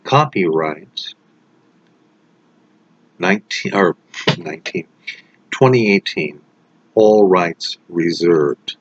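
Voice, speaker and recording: A man reading a scripted horror story aloud in a deliberate, dramatic manner. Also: sits low, lively intonation. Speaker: story reader. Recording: muffled lo-fi with loud background noise.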